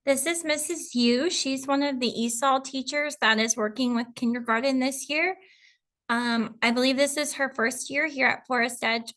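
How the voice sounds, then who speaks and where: A woman's voice: on the high side, a bit bright. A woman kindergarten teacher, introducing colleagues and explaining the curriculum to parents, working through lists with frequent ums. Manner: reads through lists with fillers. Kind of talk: presenting a school info video.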